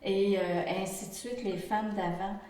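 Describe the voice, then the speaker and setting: An earnest Québécois woman in her sixties gives her testimony, pausing and restarting as she searches for words. She sits some distance from the microphone. The room around her is very echoey. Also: medium pitch, fairly even intonation, warm tone, a little hiss or hum. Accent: Québécois French. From Quebec. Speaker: interview participant. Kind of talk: reflective group interview testimony. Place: indoor room with a pump running.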